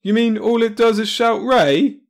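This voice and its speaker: A man's voice: sits high, a little slow, pitched above the performer's own voice. A man voicing an earnest, brash space traveler in an audiobook, speaking in an eager, declamatory way. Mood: eager questioning.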